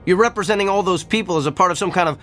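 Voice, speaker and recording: A brusque, arrogant doctor. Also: male voice, high voice, racing, sharp tone, soft background music. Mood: defensive. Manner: curt and defensive. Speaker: physician. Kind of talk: radio drama acting.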